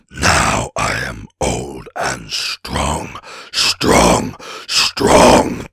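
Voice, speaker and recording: Wicked old dragon, raspy breathy growl, forceful and tense, storybook villain, gloating drawl. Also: male voice, very deep voice, dry recording, studio quality, pitched below the performer's own voice, heavily acted. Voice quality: gravelly, raspy, menacing. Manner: slow, gloating, sneering drawl. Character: ancient huge boastful male dragon, wicked and wily. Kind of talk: audiobook character voice.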